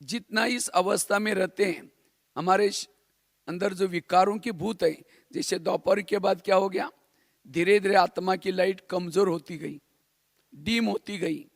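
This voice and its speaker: A man's voice: high voice, fairly even intonation, a little slow, vocal fry, dry recording, clean studio sound. A man from India giving a spiritual class in a didactic way, repeating phrases and posing rhetorical questions. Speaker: spiritual teacher.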